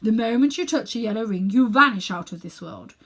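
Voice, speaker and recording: A woman's voice: on the high side, expressive, brisk, fairly bright tone. A woman voicing a pompous, cruel old uncle who dabbles in magic, condescending and smug, in a children's storybook reading. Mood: instructing. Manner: haughty, condescending, coolly smug.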